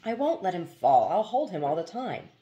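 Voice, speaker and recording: A woman voicing an earnest young rancher husband in a storybook, quiet and firm. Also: fairly low pitch, big pitch swings, normal speed, dull audio. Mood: stubborn.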